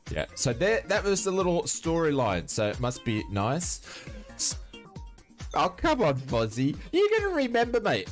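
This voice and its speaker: An Australian man sounding nasal from hay fever, chatting casually and rambling through a flight sim livestream, answering chat as he goes. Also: medium pitch.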